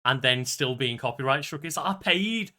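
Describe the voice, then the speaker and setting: A man co-hosts a podcast with a bright, forceful voice at an average pitch. His delivery is casual and conversational, like unscripted chat between regulars. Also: lively intonation, normal speed. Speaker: podcast co-host.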